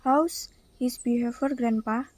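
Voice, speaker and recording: A woman's voice: a little high, steady tone, a little slow, noisy background, close to an everyday voice. A young woman with an Indonesian accent playing a worried student who hesitantly questions an elder in an amateur radio drama. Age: young adult.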